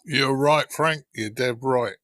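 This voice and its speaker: A man's voice: mid-range voice, slow pace, sharp tone, dry recording, studio quality. A man moderating a casual podcast discussion as host.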